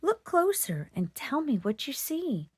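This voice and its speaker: A woman's voice: high voice, very animated, measured pace, voice pushed up. A woman voicing a wise old male monarch butterfly in a children's storybook, kindly, thoughtful and instructive. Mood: reassuring.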